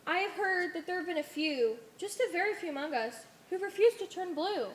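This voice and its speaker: A woman's voice: very high-pitched, animated, a little fast, slight background noise. A young woman playing an earnest, questioning follower in an amateur stage play, with a hesitant, searching manner. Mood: curious, questioning. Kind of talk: amateur one-act stage play acting. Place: theater stage.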